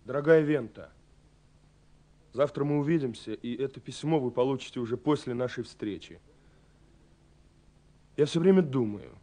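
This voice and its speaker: An actor playing a young, romantic, self-effacing carpenter reading out his love letter in an agitated, halting, confiding way, in a Soviet radio play. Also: medium pitch, lightly acted. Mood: excited, yearning. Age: young adult. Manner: agitated, halting, confiding, with swells and hushed drops.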